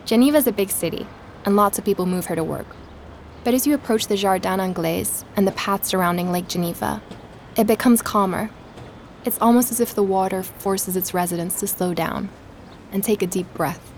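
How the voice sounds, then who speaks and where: An actress playing a podcast host, narrating in a calm, reflective way for an audio drama. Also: female voice, mid-range voice, fairly quick, a little hiss or hum, natural voice. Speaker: podcast narrator and investigator Emma.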